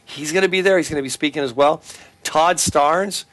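A man's voice: a little high, normal speed, fairly bright tone. A middle-aged man with a Californian accent, an attorney talking earnestly on a talk show, making announcements and telling a case story with frequent restarts. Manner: earnest, repeats web address, many restarts.

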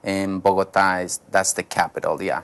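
A man, a pastor, telling his life story in a studio interview, halting and searching for words. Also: low-pitched, level tone, a bit bright. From Colombia. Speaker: pastor, guest. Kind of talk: personal testimony interview.

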